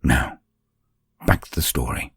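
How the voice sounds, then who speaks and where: A man's voice: measured pace, fairly bright tone, no room sound. A man narrating scripted lore and stories as a channel host, in a formal, grandiose, theatrical manner.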